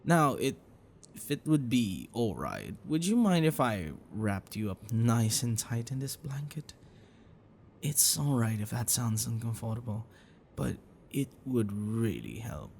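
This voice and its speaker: A man voicing an affectionate, protective, bashful dragon boyfriend in a soft, breathy, hesitant ASMR roleplay. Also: mid-range voice, very animated, measured pace, slight background noise. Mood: tender, caring.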